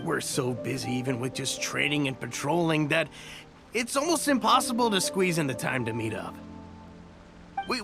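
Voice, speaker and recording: An actor playing an eager, loyal young soldier in a video game, sincere and a little hesitant. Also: male voice, a little high, gravelly. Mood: sheepish, candid. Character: eager, loyal young male soldier reporting to his general.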